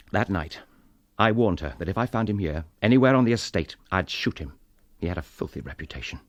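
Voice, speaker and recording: An actor playing a tormented English gentleman in a radio drama, speaking with intense, bitter, clipped delivery. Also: fairly low pitch, no room sound, studio quality, close to an everyday voice. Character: tormented English gentleman husband confessing to killing his wife.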